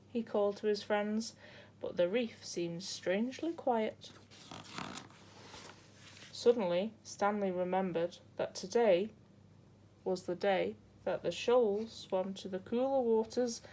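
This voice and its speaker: Female storybook reader, unhurried and soft-toned, for children's story narration. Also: medium pitch.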